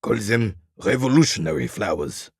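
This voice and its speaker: An actor playing a proud, embittered old royalist war veteran in a video game, with a raspy voice and a haughty, cantankerous, formal way of speaking. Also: male voice, fairly low pitch, lively intonation, normal speed, no room sound, clean studio sound. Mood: bitter, nostalgic. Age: older. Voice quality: gravelly, raspy, husky. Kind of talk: dramatic video game character acting.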